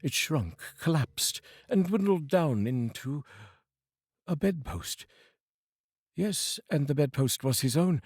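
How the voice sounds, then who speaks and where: A man with a British accent reading a classic novel aloud as narrator, dramatic and expressive. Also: fairly high pitch, slow, husky, no echo, clean studio sound.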